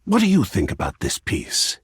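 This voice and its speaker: An actor playing an effusive, refined male art lover in a video game, speaking in a florid, theatrical way with dramatic pauses. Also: low-pitched, huge pitch swings, husky, dry recording, studio quality, exaggerated voice. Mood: delighted, intrigued.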